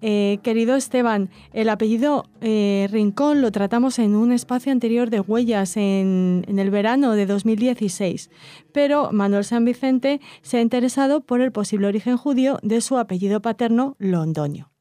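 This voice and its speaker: Mellow-voiced woman radio host. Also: fairly high pitch, no echo. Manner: measured, gentle, with some hesitations. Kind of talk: reading listener letters on radio. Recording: faint music underneath.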